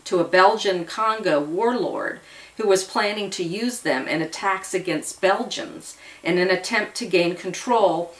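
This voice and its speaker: A woman voicing a calm, serious older retired army intelligence man in an audio story, explaining in a measured way. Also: fairly low pitch. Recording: voice distant from the mic.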